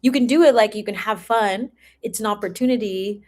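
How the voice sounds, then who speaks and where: A woman's voice: mid-range voice, fairly quick, a little mellow. A woman chatting casually and informally with viewers as she hosts a live tarot reading. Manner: chatty, informal, often breaking into giggles. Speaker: tarot reader hosting a livestream. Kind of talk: casual livestream chat with viewers.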